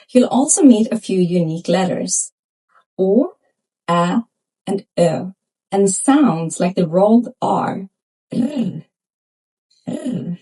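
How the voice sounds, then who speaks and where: A woman's voice: medium pitch, mellow, breathy edge, far from the mic, poor audio. A woman from Sweden, narrating a scripted beginner language lesson in an upbeat, inviting way. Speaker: language teacher.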